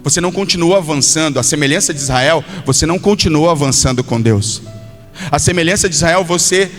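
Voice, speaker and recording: A Brazilian male pastor delivers a sermon in a high-pitched voice. He exhorts his listeners directly, driving points home through repetition. His voice rings through a long hall reverb, with music playing behind him. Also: a little fast, a bit bright. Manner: exhortative, direct address with acted-out quotes and repetition.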